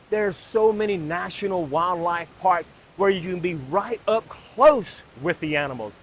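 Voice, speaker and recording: A man presenting a video as host, in a scripted, emphatic style with deliberate pauses. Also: high voice.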